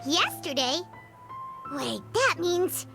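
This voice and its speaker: A woman voicing a tiny, excitable, loyal fairy girl companion in an anime game, with a squeaky childlike voice over music. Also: slow, vocal fry, exaggerated voice. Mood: surprised, pondering.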